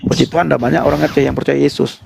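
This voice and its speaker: A man's voice: a little high, fairly even intonation, racing, gravelly, background noise. A man in an informal live debate chat, taunting and repeating his points. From Indonesia. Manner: taunting, repetitive.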